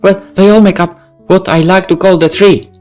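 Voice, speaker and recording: Man putting on a shy, high-pitched teenage girl. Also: steady tone, moderate pace, faint music behind, close to an everyday voice. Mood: earnest, embarrassed. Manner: hesitant, earnest. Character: shy, earnest teenage girl who loves music. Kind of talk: visual novel character voice.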